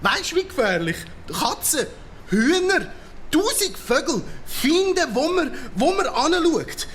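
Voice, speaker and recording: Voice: high voice, sing-song, slow pace, a bit bright, a little hiss or hum, full character voice. A performer voicing a cocky, boastful city cockroach in a children's radio play, talking swaggering hip-hop slang with a Swiss German accent. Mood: alarmed, defensive. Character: cocky streetwise city cockroach, slangy braggart, easily riled.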